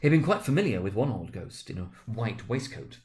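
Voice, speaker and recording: A man's voice: lowish voice, very animated, fairly quick, darkish tone. Man narrating a classic story dramatically.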